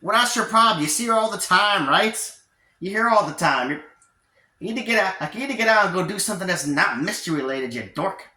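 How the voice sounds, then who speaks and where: A man's voice: on the high side, quick pace, few pauses, sharp tone, pitched above the performer's own voice. A man voicing a bossy, teasing cartoon rich girl who is eager to impress, in a pushy, exclaiming way, with a roomy echo. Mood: scolding. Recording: roomy, echoey sound.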